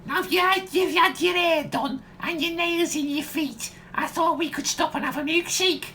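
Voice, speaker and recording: A teasing, down-to-earth female character from Liverpool in a comic radio play. Her voice is strikingly high and bright, with blunt cheek. Background noise is clearly present. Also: fairly even intonation, moderate pace. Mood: cheeky, teasing. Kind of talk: audio comedy radio play acting.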